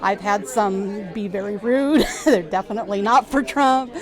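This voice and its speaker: A woman's voice: medium pitch, moderate pace, faint music behind. A woman campaign volunteer giving casual, conversational interview answers in an anecdotal way.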